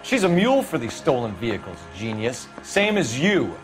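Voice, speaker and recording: An actor playing a stern, clipped young police detective in a crime drama video game, formal and pressing. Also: male voice, mid-range voice, sing-song, normal speed, natural voice. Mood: stern, threatening. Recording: music behind the voice.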